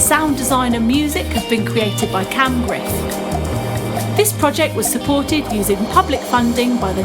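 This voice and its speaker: A woman presenter reading a scripted closing credits announcement for an audio play. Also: fairly high pitch. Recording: loud music under the voice. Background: loud music.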